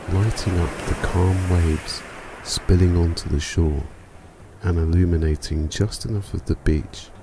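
A man narrating a scripted guided meditation for sleep in a calm, soothing, unhurried manner. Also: sits low, background noise. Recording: music bed behind voice. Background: music.

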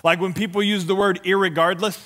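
A man's voice: sits high, little pitch movement, a little fast, fairly bright tone, slight background noise. A middle-aged man with a lisp, preaching on a church stage and telling self-deprecating stories with acted-out dialogue. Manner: walks and gestures while preaching. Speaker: pastor. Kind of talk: humorous sermon.

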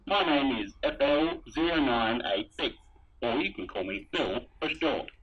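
A man playing a helmeted sci-fi trooper, reading a rhyming children's storybook aloud through a radio helmet filter in a deliberate, sing-song way. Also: mid-range voice, animated, breathy edge. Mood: animated storytelling. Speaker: storybook reader. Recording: dull, muffled radio-like sound.

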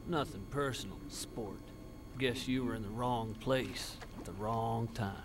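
Man acting as menacing game villain, cold killer, medium-pitched, quiet game audio, clear background noise. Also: measured pace, natural voice. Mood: cold menace. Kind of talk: video game cutscene acting.

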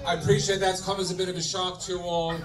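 A middle-aged man with an Irish accent doing a stand-up comedy routine in a deadpan manner, swearing freely and talking straight to the audience. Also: high voice, fast talker, heavy background noise. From Ireland. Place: club stage in front of a laughing audience. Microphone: handheld mic, filmed from the audience. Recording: distant room recording with crowd laughter.

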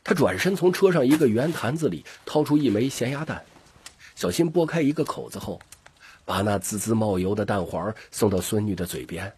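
A man with a gravelly, husky voice narrating an audiobook story expressively. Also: fairly low pitch, very animated, brisk, a little mellow.

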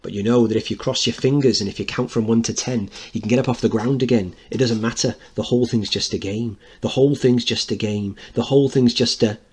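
A man's voice: mid-range voice, steady tone, brisk, hardly any pauses, darkish tone, husky, natural voice. A man voicing a chorus of playful children chanting a rhyme in a dramatic play reading.

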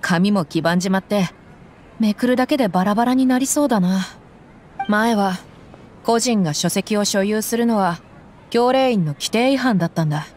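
A woman's voice: mid-range voice, moderate pace, darkish tone, slight background noise, close to an everyday voice. An actress playing a tough, reflective desert mercenary woman, speaking in a blunt, tomboyish way in anime game style. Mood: blunt, musing. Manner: blunt, tomboyish rough speech. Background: background music.

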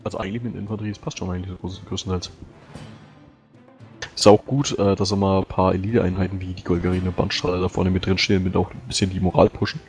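Deep-voiced hesitant male player. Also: flat delivery, fast, darkish tone, faint music behind. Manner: hesitant, thinking aloud. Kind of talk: casual strategy discussion.

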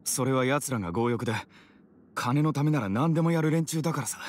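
A man's voice: mid-range voice, brisk, natural voice. A man playing a mild, sensible townsman and father in an anime game, explaining things earnestly. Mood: uneasy, explaining.

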